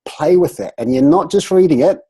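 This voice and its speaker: Brief: nasal man, voice coach, husky and dark, animated video-call chat. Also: fairly high pitch, fairly quick. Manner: animated, playful, trails off into restarts. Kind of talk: casual group video chat.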